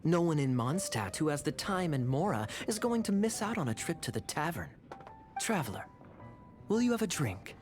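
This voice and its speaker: An actor playing a suave, teasing young knight captain in a video game, smooth-talking and theatrical. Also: male voice, fairly high pitch, big pitch swings, normal speed, music in the background. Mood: playful, genial.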